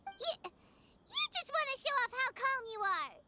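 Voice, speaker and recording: A woman voicing a small, excitable childlike fairy companion in a game, with a squeaky voice. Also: unhurried, heavy background noise, heavily acted. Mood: indignant. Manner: refers to self by name in third person. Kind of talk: animated game voice acting.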